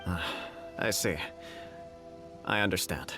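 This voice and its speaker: A man playing a courteous, dignified government official in a video game, with formal, deliberate and diplomatic speech. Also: low voice. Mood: gracious, conciliatory. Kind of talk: video game dialogue acting. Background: game music.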